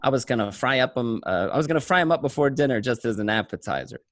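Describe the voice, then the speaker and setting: A man's voice: medium pitch, expressive, fast, fairly bright tone, no echo, clean studio sound, lightly acted. A man playing a paranoid, streetwise gangster narrating his day in a radio play, talking in a coarse, conversational way. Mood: matter-of-fact recounting. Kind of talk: first-person crime narration in a radio play.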